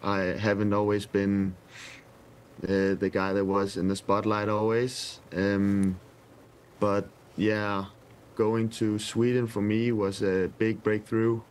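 A young man in his twenties with a Danish accent, answering interview questions over a video call from a press room, hesitant and soft-spoken with many pauses. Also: fairly low pitch, level tone, a little hiss or hum. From Denmark. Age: 20s. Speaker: footballer guest. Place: indoor press room with sponsor backdrop. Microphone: webcam video call.

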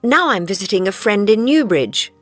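A man's voice: sits high, very animated, moderate pace, a bit bright, soft background music, voice pushed up. A man voicing a nervous young girl. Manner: nervous, careful. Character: teenage girl, quick-thinking, bluffing nervously.

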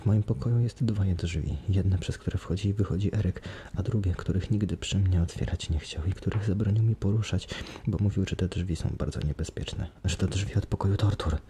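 A man voicing a frightened young woman held captive, speaking breathlessly and pleadingly in a raised, higher voice. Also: fairly quick, a little hiss or hum, lightly acted. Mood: anxious. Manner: breathless, desperate, high and loud. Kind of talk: audiobook character voice.

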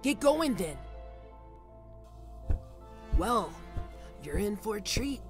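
A man's voice: high-pitched, very animated, heavily acted. A man playing a ruthless, cocky mob boss with a protective streak in an ASMR roleplay drama, commanding and taunting. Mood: urgent, commanding. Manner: commanding, taunting, slow deliberate delivery. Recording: loud music bed under voice. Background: music.

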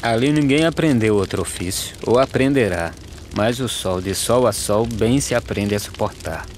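A man playing a poor, weary migrant farm labourer, speaking measured verse in an animated film. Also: lowish voice, a little hiss or hum, lightly acted. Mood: plain, resigned. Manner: measured, declaimed rhyming delivery. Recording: music behind the voice.

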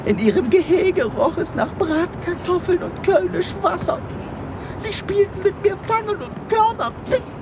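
A woman's voice: very high voice, lively intonation, normal speed, background noise. A woman playing a passionate, sentimental chicken creature with rebellious ideals in a comic sci-fi radio play. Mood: wistful. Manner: melodramatic, impassioned.

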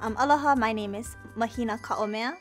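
A woman's voice: on the high side, brisk, faint music behind. A young woman from Oahu, a college freshman, introducing herself in a friendly way. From Oahu, Hawaii. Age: young adult. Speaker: student. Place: studio with blue backdrop.

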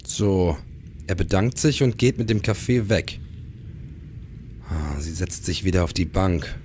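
A man's voice: deep voice, animated, unhurried, darkish tone, slight background noise. A man in a crime radio play, playing a driven, probing police detective who also narrates his case. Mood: focused, suspicious. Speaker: radio drama actor. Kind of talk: German crime radio play acting, with first-person narration.

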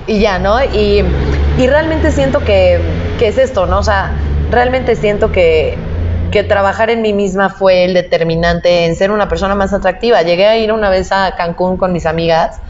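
A young adult woman with a Mexican accent talks in a candid, slang-filled podcast style. Her pitch sits in the middle for a woman. The sound is a little muffled, with faint background noise. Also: normal speed. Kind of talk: casual podcast chat. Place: indoor podcast set at a table. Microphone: lapel mic.

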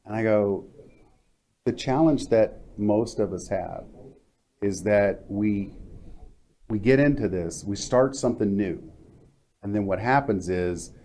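Guy in his 50s, kinda low soft-edged voice, casual interviewer, stop-and-start phrasing. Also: takes it slowly, long pauses. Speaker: interviewer, co-presenter. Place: TV-style studio at a glass desk. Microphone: lapel mic.

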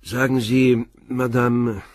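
A man's voice: medium pitch, little pitch movement, slow, no room sound, clean studio sound, close to an everyday voice. An actor as a terse male police inspector. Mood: calm, inquiring. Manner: terse, probing questions. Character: calm, probing male police inspector questioning witnesses. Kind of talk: radio crime drama dialogue.

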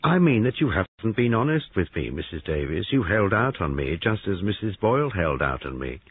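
A man voicing a brisk, self-satisfied young man posing as a policeman in an audiobook. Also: big pitch swings, slightly rough, dry recording, heavily acted. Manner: brisk, confident, lifting pitch. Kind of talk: audiobook character voice. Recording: narrow phone-like sound, dry.

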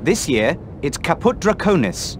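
A man's voice: a little high, very animated, measured pace, slight background noise. A man voicing an officious, self-important teenage prefect in a fantasy game, formal and bossy. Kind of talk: British fantasy game acting.

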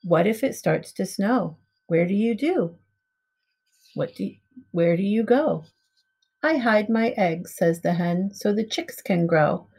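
A middle-aged woman reading a picture book aloud to young children in simple, measured storybook phrasing. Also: medium pitch, animated, a little mellow, slightly rough. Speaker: preschool teacher reading aloud. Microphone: webcam at arm's length.

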